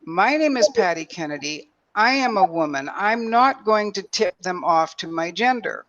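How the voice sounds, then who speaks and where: A woman in her late sixties with a deep voice, telling personal stories emphatically and acting out conversations. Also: lively intonation, moderate pace, a bit bright, highs cut off. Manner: emphatic, acts out quoted exchanges. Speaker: roundtable panelist. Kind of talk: animated personal storytelling.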